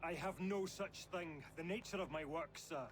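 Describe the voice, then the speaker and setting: An actor playing an earnest, dutiful army officer in a video game, formal and deferential in manner. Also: male voice, a little high, moderate pace, a little hiss or hum, close to an everyday voice. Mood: anxious, respectful. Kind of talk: dramatic video game cutscene acting. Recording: loud music behind the voice.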